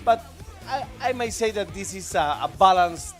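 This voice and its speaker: A man from Argentina with an Argentine Spanish accent, co-commentating live esports in halting, enthusiastic English. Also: high-pitched, expressive, a bit bright, soft background music.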